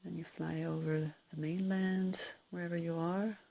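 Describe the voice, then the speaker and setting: A woman leading a guided meditation, giving calm, unscripted instructions in a soothing, breathy way with gentle pauses. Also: on the low side, a little hiss or hum, poor audio. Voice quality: breathy, husky, creaky.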